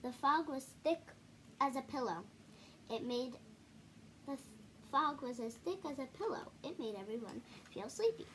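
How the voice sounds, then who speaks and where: High-pitched female storyteller, noisy recording. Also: lively intonation. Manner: halting, self-correcting, apologetic while showing pages. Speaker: children's read-aloud video host. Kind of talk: picture book read-aloud with casual asides to viewers.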